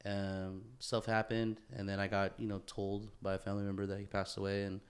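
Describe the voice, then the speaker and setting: A man chatting casually as a podcast host, telling stories in an animated, slangy way and swearing freely. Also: lowish voice, fairly quick. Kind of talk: casual chat, storytelling.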